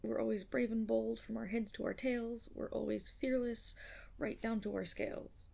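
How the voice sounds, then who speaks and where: A woman voicing a timid young half-dragon rogue in an intimate ASMR roleplay, breathy and hesitant, anxious but proud, stammering and rambling. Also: a little high, steady tone, normal speed, dark tone. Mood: embarrassed, bashful. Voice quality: breathy, often slipping toward a whisper. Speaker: roleplay voice performer.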